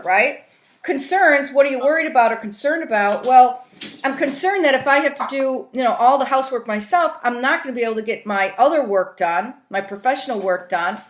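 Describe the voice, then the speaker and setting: A woman's voice: a little high, fairly bright tone. A woman giving informal instruction on a conference call, explaining step by step and often checking for understanding. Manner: explanatory, often checks in with "right?" and "you know". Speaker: trainer, facilitator teaching a coaching tool. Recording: roomy, echoing conference call audio.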